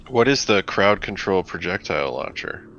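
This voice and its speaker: A man's voice: deep voice, lively intonation, normal speed, a bit bright. A man playing a tabletop roleplaying game, talking casually and thinking aloud in a hesitant way. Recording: dull, lo-fi mic with faint noise.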